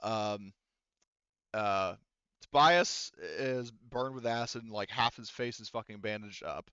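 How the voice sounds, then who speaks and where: A man's voice: fairly low pitch, slow, sharp tone, smooth, no echo, muffled recording. A man narrating a tabletop roleplaying game as game master, casual and often profane.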